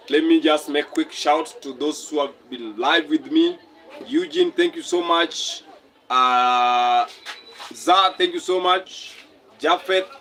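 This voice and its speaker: A man's voice: a little high, a bit bright, poor audio. A young man from Kenya hosting a casual live stream, reading out viewer comments and repeating his phrases as he talks.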